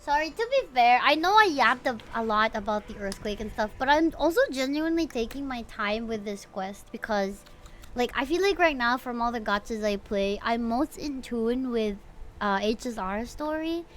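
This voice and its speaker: A woman livestreamer chatting casually with her viewers in an expressive, playful way while she plays a story game. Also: fairly high pitch, moderate pace, a little hiss or hum. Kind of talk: casual livestream chat mixed with reading game dialogue aloud.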